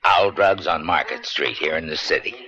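A man's voice: rumbling low, moderate pace, pushed and bright. A man acting an earnest, nervous drugstore clerk giving testimony in a radio drama, hesitant and deferential. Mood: earnest, careful. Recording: dry, dull vintage radio broadcast.

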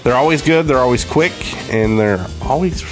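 A man hosting a podcast in casual, somewhat halting conversation. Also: mid-range voice, over music. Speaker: podcast host. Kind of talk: casual chat.

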